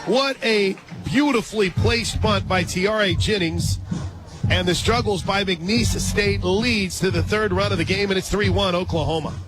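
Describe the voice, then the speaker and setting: A man with an American accent calling softball play-by-play for radio in a conversational, excitable manner. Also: high voice, normal speed, low-quality recording. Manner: conversational, excitable on big plays. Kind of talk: live softball commentary. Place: outdoor stadium radio booth. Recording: loud crowd and music, outdoors. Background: loud crowd and music.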